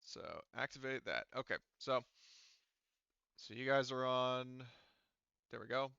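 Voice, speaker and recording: Male game master, bright gravelly voice, casual and explanatory, slightly dull dry recording. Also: mid-range voice, lively intonation, slow, no echo. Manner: explanatory, thinking aloud. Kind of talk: casual tabletop game session talk.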